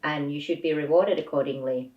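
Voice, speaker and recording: A woman guest speaking English as a second language, answering thoughtfully with hesitant pauses and fillers. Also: sits low. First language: not English. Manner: thoughtful, hesitant, laughs softly. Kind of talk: reflective interview answers. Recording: echoey room, distant dull mic.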